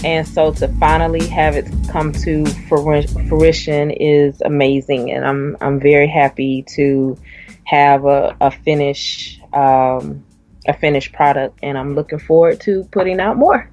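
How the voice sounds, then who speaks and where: A woman's voice: sits low, steady tone, a little slow, darkish tone, faint music behind. A young woman from the South, an author and poet, answering interview questions with a hesitant manner and many fillers. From Southern US (Alabama).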